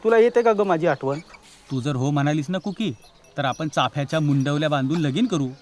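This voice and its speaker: A man's voice: a little high, lively intonation, a little fast, a little mellow, lightly acted. An actor playing a shy lovesick village boy, speaking earnestly and pleadingly. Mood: earnest longing. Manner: earnest, pleading, rustic speech.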